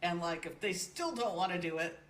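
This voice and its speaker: A woman speaking as a guest in a casual live chat, laid-back and quick to laugh. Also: fairly low pitch, animated, recorded from a distance. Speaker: podcast guest. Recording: echoey room with crowd noise.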